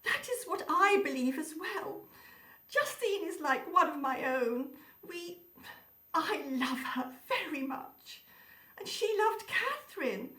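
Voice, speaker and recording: High, roomy, grieving Baroness played by a woman. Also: lively intonation, sharp tone, exaggerated voice. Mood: sorrowful. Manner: formal, halting. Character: grieving gracious Baroness, a loyal motherly older woman. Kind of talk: radio play acting. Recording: roomy, distant microphone.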